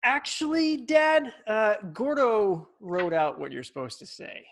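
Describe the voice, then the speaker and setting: Slow, bright, cocky little brother. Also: male voice, sits high, big pitch swings, full character voice. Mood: eager. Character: cocky, mock-solemn little brother kung fu hero. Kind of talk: sitcom script table read. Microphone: video call.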